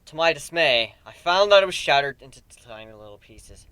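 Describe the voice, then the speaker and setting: A man giving an expressive dramatic reading of a fan fiction story as an amateur narrator. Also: fairly high pitch, moderate pace, clean tone, no echo.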